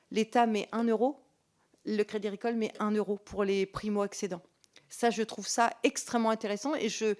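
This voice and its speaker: A woman's voice: medium pitch, a little mellow. A woman speaking as a local-government representative at a hearing, semi-formal and earnest, pressing her points emphatically. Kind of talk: semi-formal spoken testimony, answering questions.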